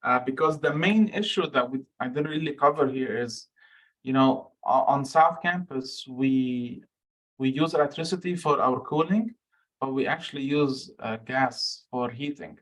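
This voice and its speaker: Frank, halting man from Lebanon. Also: medium pitch, fairly bright tone, poor audio. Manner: frank, halting with many fillers and restarts. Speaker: guest speaker, microgrid researcher. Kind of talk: answering audience questions.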